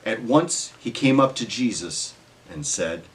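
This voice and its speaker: A man narrating a dramatic scripture reading in an expressive, measured storytelling manner. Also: lowish voice, far from the mic.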